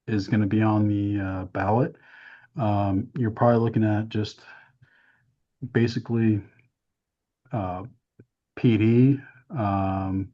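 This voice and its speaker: A man presenting a town budget at a council workshop, hoarse from losing his voice, with a hesitant manner full of "um"s. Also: on the low side. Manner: hesitant, many "um"s, explains plainly. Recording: dull, muffled meeting audio.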